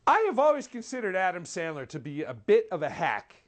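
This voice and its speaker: Movie reviewer, mid pitch that moves around a lot, wry n articulate, reading a scripted review. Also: sing-song, brisk. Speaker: film critic, host.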